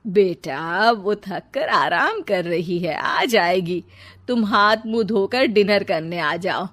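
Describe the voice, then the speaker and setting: Woman voicing a patient, unhurried motherly mother-in-law. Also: medium pitch, animated, a bit bright, dry recording. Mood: patient, placating. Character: kindly middle-aged mother-in-law, patient and reassuring. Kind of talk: storybook character voice.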